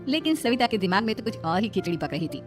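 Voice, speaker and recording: A woman's voice: medium pitch, very animated, quick pace, music in the background. A woman narrating a scripted moral story.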